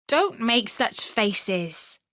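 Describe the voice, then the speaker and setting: A woman's voice: fairly high pitch, very animated, drawn-out words, bright, forceful tone, no room sound, exaggerated voice. A woman plays a young robber girl from a storybook, fierce yet fond. The voice is raised a little and imperious, emphatic on every order, in a dry recording. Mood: bossy, kind. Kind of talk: children's fairy tale audiobook.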